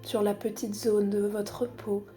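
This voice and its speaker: A woman guiding a body scan meditation in a calm, unhurried, soothing manner with long pauses. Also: medium pitch, faint music behind. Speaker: psychologist guiding a relaxation exercise.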